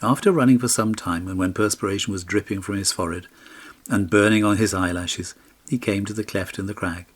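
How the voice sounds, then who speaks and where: Male audiobook narrator, fairly low and slightly rough, telling a fairy tale with expression. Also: fairly even intonation, a little fast.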